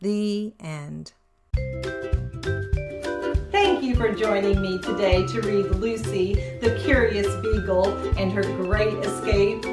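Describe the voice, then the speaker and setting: A woman reading a story aloud for children as host, expressive and speaking directly to young listeners. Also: medium pitch, takes it slowly, loud music behind the voice.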